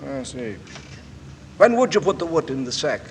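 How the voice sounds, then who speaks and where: Old radio coroner, formal n pressing, higher male voice, long reverb, bit of hiss. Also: big pitch swings. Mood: probing. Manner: formal, probing, deliberately polite. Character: persistent, courteous male coroner questioning witnesses at an inquest. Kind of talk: 1940s radio acting. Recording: old radio, hissy echoing hall.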